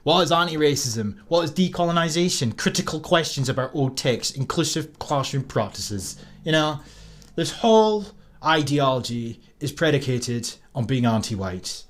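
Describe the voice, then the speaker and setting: A man's voice: fairly high pitch, lively intonation, normal speed. A Scottish man giving screen-recorded commentary in an indignant, sarcastic manner, with scoffs and swearing. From Scotland.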